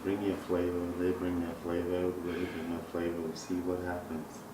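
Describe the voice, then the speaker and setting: A man's voice: sits low, monotone, a little fast. A man in a casual group discussion, speaking in short, rhythmic, repeating phrases. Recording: echoey, noisy room, distant mic.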